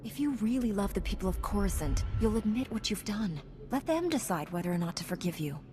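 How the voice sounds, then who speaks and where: A woman's voice: mid-range voice, lively intonation, brisk, dark tone, soft background music, close to an everyday voice. An actress as a principled, composed warrior woman. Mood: stern. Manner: earnest, formal, firm. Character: principled, composed female Jedi knight. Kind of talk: dramatic video game acting. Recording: game audio with faint noise.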